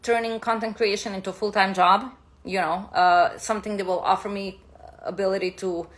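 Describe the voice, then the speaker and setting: A woman from Serbia gives a casual spiritual talk on a live stream. She rambles loosely, restarting sentences and filling pauses as she goes. Her voice sits at an ordinary middle pitch. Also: fairly even intonation. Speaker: spiritual teacher, content creator. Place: home in New York City.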